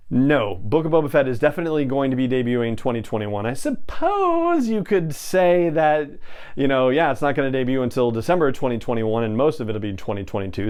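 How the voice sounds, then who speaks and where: Clear-voiced man hosting a chatty podcast. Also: medium pitch, darkish tone. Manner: conversational, chatty asides. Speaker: podcast host. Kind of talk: solo fan news commentary.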